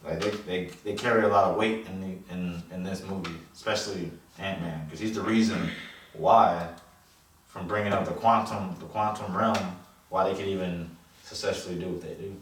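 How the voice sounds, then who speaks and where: A man's voice: sits low, steady tone, normal speed. A man chatting casually and unscripted as a film review co-host, swearing and using slang, repeating phrases for emphasis. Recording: echoey room, distant mic, faint noise.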